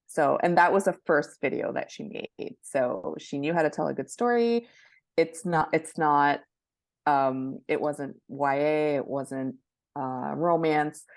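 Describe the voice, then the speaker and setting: A woman's voice: sits low, gravelly, no echo. A woman speaking as a guest marketing expert, explaining things conversationally in an interview.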